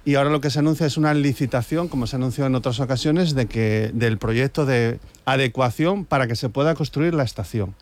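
A man's voice: mid-range voice, fairly quick, hardly any pauses, slight background noise. A man on a discussion panel, explaining things casually and sceptically.

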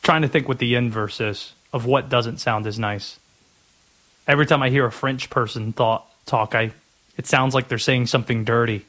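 A man's voice: mid-range voice, fairly even intonation, normal speed, fairly bright tone. A man with an American accent hosting sports talk radio, chatting and musing aloud in a conversational way. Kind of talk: sports talk radio monologue and caller chat.

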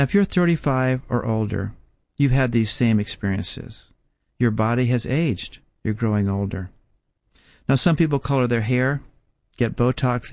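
A man in his fifties preaching a sermon in a measured, emphatic way, leaning on repeated rhetorical questions. His voice sits at an ordinary middle pitch. The sound is dry and close, with no room echo. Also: a little mellow. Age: 50s. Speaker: Bible teacher.

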